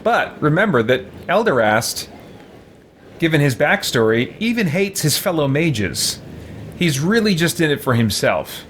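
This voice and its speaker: A man's voice: fairly high pitch, very animated, measured pace, fairly bright tone, slight background noise. A man hosting a gaming video, giving casual roleplay commentary and explaining his choices as he thinks aloud.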